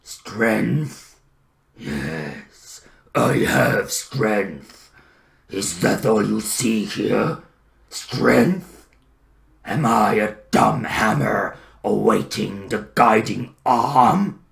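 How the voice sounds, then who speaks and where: A man voicing a brooding, eloquent, bitter troll king for fantasy game lines, gravelly and theatrical. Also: full character voice. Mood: menacing, commanding. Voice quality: deep, gravelly. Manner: slow, theatrical, grandiloquent.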